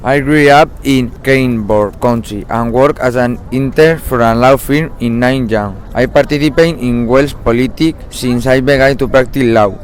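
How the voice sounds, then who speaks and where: A young man delivers a scripted first-person biography in a medium-pitched voice. Faint vehicle noise runs behind his recitation. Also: poor audio. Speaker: student presenting a history project.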